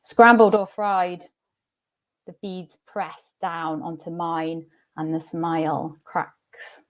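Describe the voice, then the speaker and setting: A woman's voice: on the low side, little pitch movement, slow pace. A woman reading her own poem aloud. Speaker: poet. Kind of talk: poetry reading.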